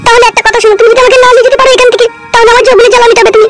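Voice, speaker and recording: A woman's voice: extremely high pitch, little pitch movement, rapid-fire, music in the background. A woman voicing a lovesick, pleading, insistent young woman in an amateur comedy cartoon.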